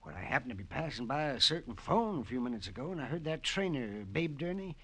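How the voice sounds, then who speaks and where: An actor playing a folksy, inquisitive gentleman farmer, hesitant and repeating himself, in a 1940s radio drama. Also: medium pitch, big pitch swings, fast talker. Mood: nosy.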